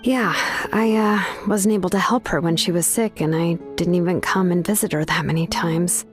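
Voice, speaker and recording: An actress playing a young woman smith in a game, easygoing and teasing, with soft game music behind her. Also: fairly low pitch, normal speed, close to an everyday voice. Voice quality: relaxed, casual, teasing. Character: young woman blacksmith, easygoing and laid-back. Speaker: name engraver of the tribe. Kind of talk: game dialogue.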